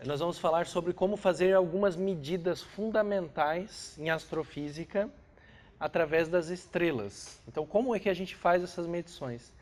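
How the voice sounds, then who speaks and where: A man's voice: fairly high pitch, normal speed, darkish tone. A Brazilian man giving an astrophysics class lecture, congested with a cold, explaining didactically with short tag questions.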